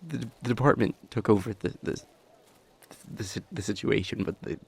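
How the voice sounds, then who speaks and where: A man acting an anxious, kind young helper at a disaster in an audio roleplay, stammering heavily in a soft, hesitant voice. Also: lowish voice, normal speed, vocal fry, husky. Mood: anxious, shaken. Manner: heavy nervous stammer, hesitant.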